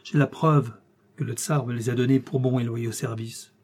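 A man voicing a gruff, hot-tempered, hard-drinking old Russian general in an audiobook, blustering and barking his orders. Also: medium pitch, fairly even intonation, normal speed, a little mellow, close to an everyday voice. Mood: defiant, possessive. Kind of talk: audiobook character dialogue.

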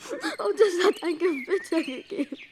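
A woman's voice: very high voice, very animated, normal speed, noisy background. A female performer playing a childish, pleading little girl in a radio drama. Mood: tearful. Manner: childish begging, repeating pleas.

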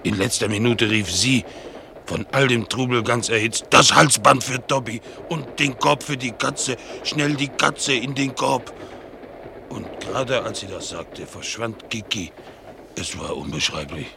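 A man playing a naive, excitable bulldog in a radio play, telling his tale expressively and mimicking the people he quotes. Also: measured pace. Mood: excited, dramatic. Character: excitable, naive male bulldog, chattering about his household. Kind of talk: German radio play acting. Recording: clearly noisy, train rumble behind. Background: vehicle rumble.

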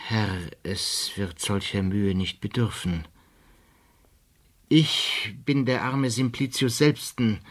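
An actor playing a roguish, godless musketeer in a German radio drama, with a husky voice and archaic phrasing. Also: male voice, on the low side, steady tone, slow pace, no echo. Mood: humble, pleading. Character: roguish, godless musketeer recounting his wretched life. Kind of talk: German radio drama acting, archaic first-person narration.

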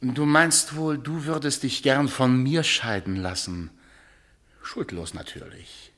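An actor in a German radio play as a domineering, mocking husband who talks with theatrical condescension. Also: male voice, medium pitch, slow, fairly bright tone. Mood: cold, sneering. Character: sneering, domineering husband who enjoys power and taunting.

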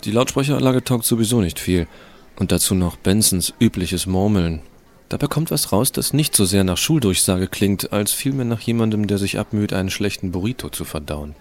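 A man's voice: low voice, darkish tone. A man playing a cocky, irreverent teenage schoolboy who narrates his own story in a sardonic, colloquial way in an audio drama. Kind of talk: first-person scripted narration, fan audio drama.

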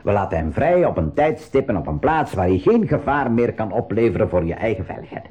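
Animated, low-voiced Flemish uncle in command. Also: male voice, a little fast, husky. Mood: earnest, firm. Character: kindly, principled uncle commanding a small submarine. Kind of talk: 1980s school radio play acting.

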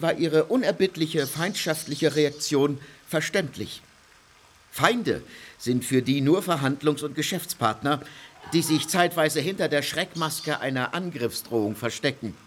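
A man's voice: a little high, a little hiss or hum. An actor playing a pompous male political theorist, who lectures a circle of dignitaries in a declamatory way. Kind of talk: radio play acting.

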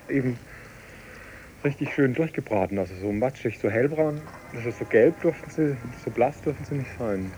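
A man's voice: on the low side, rough recording. A man chatting casually in an interview, giving firm opinions in short, clipped phrases.

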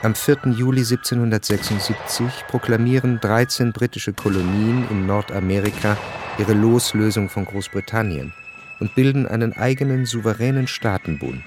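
A man narrating a scripted radio drama in a measured, formal manner. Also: lowish voice.

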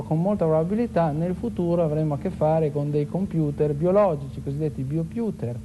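A man giving an explanatory, lecture-like talk as a science expert, in a measured, didactic way. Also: on the high side, dark tone, low-quality recording. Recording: clear background noise and ambience.

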